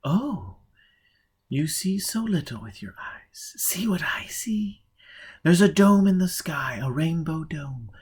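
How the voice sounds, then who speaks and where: A man reading a story aloud, voicing an aloof highborn lady in high, breathy whispers that turn dreamy and disdainful. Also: big pitch swings, takes it slowly, darkish tone, heavily acted. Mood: dreamy, mocking. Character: aloof highborn blind lady, rapt and mocking.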